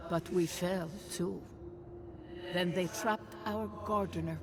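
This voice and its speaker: An ancient guardian spirit from a video game, speaks with solemn formality. The delivery is very slow and measured, mid-pitched, with prominent music underneath. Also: fairly even intonation. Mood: grieving, solemn. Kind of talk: scripted dramatic game narration. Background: clear background music.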